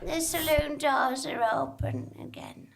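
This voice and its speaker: A woman playing a glum, barely functioning saloon computer in a comic radio play, hesitant and deadpan. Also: high-pitched, measured pace, vocal fry, over a noisy crowd, exaggerated voice. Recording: roomy live theatre sound.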